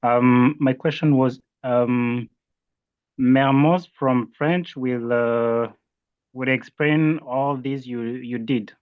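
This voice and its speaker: A man's voice: medium pitch, fairly even intonation, takes it slowly, clear, resonant tone, dry recording. A man from Burundi, a training participant, politely and hesitantly asking a question on an online call. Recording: dull lo-fi call audio.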